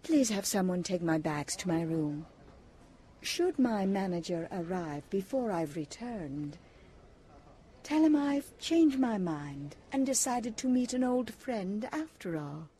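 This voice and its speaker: An imperious, well-to-do lady played by a woman speaks slowly and formally in a mellow voice. It is poised gothic drama acting, with faint ambient noise behind. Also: mid-range voice, very animated. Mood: coolly resolved.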